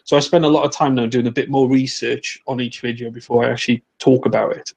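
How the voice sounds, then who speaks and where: A man with a faint Welsh lilt chats on a video call, blunt and down to earth, the odd mild swear slipping in. His pitch sits at an ordinary middle level, and he restarts his sentences often as he thinks aloud. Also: steady tone, fast, gravelly, a little mellow. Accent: soft Welsh, mostly neutralised toward English. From Wales. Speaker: guest, recruitment agency owner. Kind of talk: casual video call chat.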